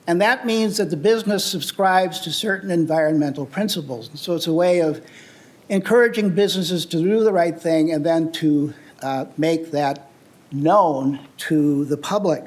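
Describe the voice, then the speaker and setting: An older man from the United States giving an earnest, explanatory public talk with slides, often pausing with "uh" and restarting phrases. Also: on the high side. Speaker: presenter on travel and sustainability.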